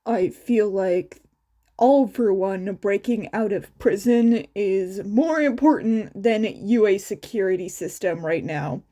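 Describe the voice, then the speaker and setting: A woman voicing a blunt, deadpan, easily exasperated teenage boy in a story. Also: mid-range voice, slow pace. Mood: dry, exasperated.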